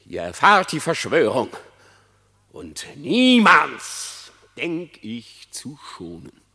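An actor playing a cunning, lying fox who theatrically feigns repentance in a classic German verse radio drama. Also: male voice, medium pitch, huge pitch swings, slow, exaggerated voice. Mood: solemn, courteous. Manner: theatrical, feigned repentance, swinging between hush and outcry. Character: cunning lying male fox pleading before his execution.

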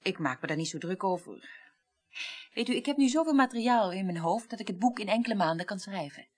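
A woman's voice: mid-range voice, animated, fairly quick, lightly acted. An actress playing a detached, wry female former reporter in a radio play, speaking articulately and dryly. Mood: musing, unconcerned. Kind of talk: 1980s Dutch radio play acting.

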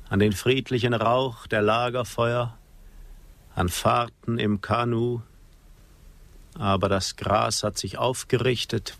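An actor playing a proud, sorrowful Apache chief in a radio drama, with solemn, poetic delivery. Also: male voice, lowish voice, a bit bright, no echo. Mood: mournful. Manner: solemn, poetic, measured declamation. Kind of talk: German radio drama acting.

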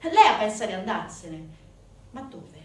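A woman's voice: medium pitch, very animated. Echoey, distant woman delivering a dramatic monologue. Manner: emphatic, with deliberate pauses and swings from hushed to forceful. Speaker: stage actress and narrator. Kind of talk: dramatic theatrical monologue storytelling. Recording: distant, echoey room recording with faint noise.

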